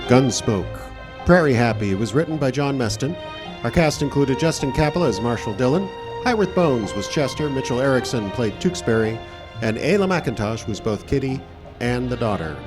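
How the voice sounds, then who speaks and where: A man's voice: medium pitch, expressive, normal speed, music in the background. A man announcing a live radio show in scripted old-time radio style.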